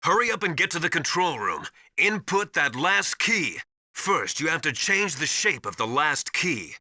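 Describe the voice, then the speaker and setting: An actor playing a knowledgeable, commanding military mentor in a video game, giving didactic and urgent instructions with emphasis. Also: male voice, fairly high pitch, big pitch swings, a little slow, bright, forceful tone, no echo, full character voice. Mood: urgent, insistent. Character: knowledgeable, commanding male military survival mentor called Master. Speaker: radio support advisor.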